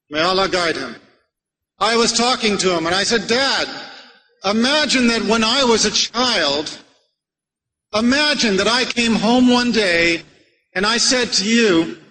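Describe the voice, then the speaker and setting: Male preacher from America, measured rhetorical lecture style, long reverb of a hall around him. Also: sits high, bright, forceful tone. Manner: measured, rhetorical, addresses the audience with questions. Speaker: Islamic preacher. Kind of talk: religious lecture to a live audience.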